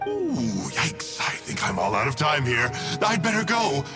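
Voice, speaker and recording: Game villain: flippant schemer, mocking and theatrical, very lively melody in the delivery, music underneath. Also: male voice, on the high side, unhurried. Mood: breezy, flippant. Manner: breezy, mocking, theatrical, toying with listeners. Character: smug, flippant male villain of a dark order, a scheming schemer. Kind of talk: video game voice acting. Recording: music behind the voice.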